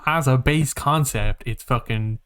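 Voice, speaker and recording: Male podcast co-host, mid-pitched, animated and sweary, loose halting delivery for casual pop-culture chat. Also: clear, resonant tone. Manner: rambling, halting. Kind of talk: casual film and game chat.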